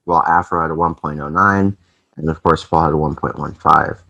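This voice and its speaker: Brief: young male esports vlog host, extremely low voice, rambling casual delivery, slightly off from allergies. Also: steady tone, brisk, creaky, pushed and bright, lo-fi sound. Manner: rambling, chatty, lots of fillers. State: voice affected by allergies.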